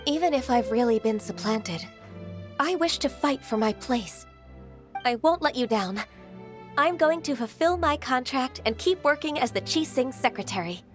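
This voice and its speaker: Game heroine, gentle dutiful secretary, soft-spoken, formal, fairly high voice, music underneath. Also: female voice, expressive, moderate pace. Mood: resolute. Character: gentle, dutiful half-adeptus secretary woman, ageless. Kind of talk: video game voice acting. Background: background music.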